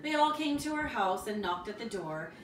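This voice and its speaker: Woman storyteller, fairly high voice, expressive children's read-aloud, echoey room, rhythmic delivery. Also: moderate pace, a little hiss or hum. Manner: expressive, rhythmic with repetition and sound words. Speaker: storybook reader. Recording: roomy, distant mic, music behind. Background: music.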